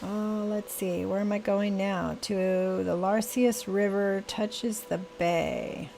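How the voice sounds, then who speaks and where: Gravelly older woman musing slowly. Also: mid-range voice, soft background music. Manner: thinking aloud, slow and musing. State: scratchy voice. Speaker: gaming channel host. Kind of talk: casual gameplay commentary. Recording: steady rain noise behind. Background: rain.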